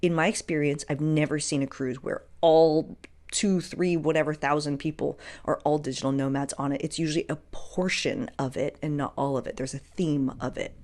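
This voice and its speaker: Female podcast host, slightly low voice, casual and conversational, emphatic delivery with asides and rhetorical questions. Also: fairly even intonation, normal speed, darkish tone. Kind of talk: casual solo podcast talk giving advice.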